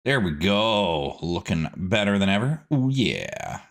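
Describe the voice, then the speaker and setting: A man's voice: low-pitched, sing-song, slow. A man hosting a casual gaming video, chatting to himself playfully as he plays, with silly words and sing-song asides. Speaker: gaming host. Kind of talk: casual game commentary, let's play.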